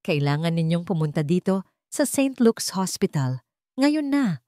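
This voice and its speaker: A woman's voice: fairly low pitch, big pitch swings, unhurried, dark tone, no room sound, clean studio sound. A woman voicing a worried young female friend in a story, tearful and politely deferential. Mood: tearful, anxious.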